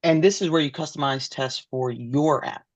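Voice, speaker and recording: A man learning as a student, thinking aloud haltingly as he reads through material and checks his understanding. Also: fairly high pitch, no room sound. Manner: tentative, halting, asks to confirm understanding. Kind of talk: thinking aloud while reading through code.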